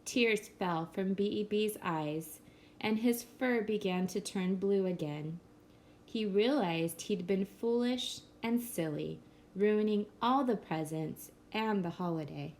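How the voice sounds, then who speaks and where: Woman narrator, children's picture book read-aloud, slow and gentle, faint room noise. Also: mid-range voice. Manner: slow, gentle storybook reading. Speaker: storyteller.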